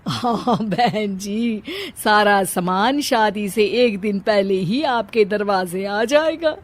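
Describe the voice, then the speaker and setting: A woman's voice: mid-range voice, slow. A woman voicing a frank, plain-spoken middle-aged matron in a cartoon, with a pointed manner. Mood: frank, polite. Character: frank middle-aged women, mothers and friends, disapproving. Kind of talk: Hindi cartoon voice acting.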